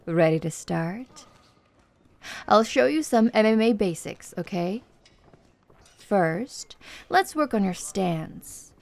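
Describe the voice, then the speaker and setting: Woman acting a slow, creaky-voiced flirty tomboy fighter. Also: mid-range voice, animated, a little mellow. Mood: instructing, playful. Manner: slow, teasing, giggly, encouraging. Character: flirtatious confident tomboy MMA fighter woman, playful, seductive coach. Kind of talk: ASMR roleplay, personal address to listener.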